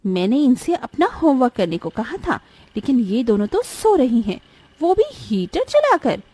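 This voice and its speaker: A woman's voice: fairly high pitch, big pitch swings, moderate pace, warm tone, husky. A woman voicing a jealous, bossy young elder stepsister in a cartoon story, sneering and scolding as she speaks. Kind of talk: cartoon bedtime story voice acting.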